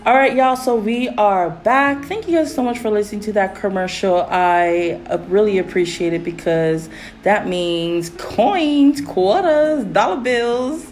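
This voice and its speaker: A woman hosting a casual faith podcast, with a raspy voice and a rambling manner full of fillers. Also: mid-range voice, unhurried, a little hiss or hum. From Pacific Islands. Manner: rambling, many fillers, animated when excited. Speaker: podcast host.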